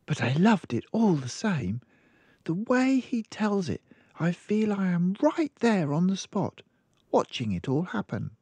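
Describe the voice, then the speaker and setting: A man's voice: high voice, very animated, a little slow, darkish tone, husky, dry recording, pitched above the performer's own voice, exaggerated voice. A man voicing an earnest, polite, bookish little girl from a storybook in a child-like manner. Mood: eager, earnest. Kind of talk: storybook character voice.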